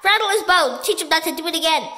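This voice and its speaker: A woman's voice: high-pitched, big pitch swings, moderate pace, bright, forceful tone, full character voice. A woman doing a cheering polite giraffe.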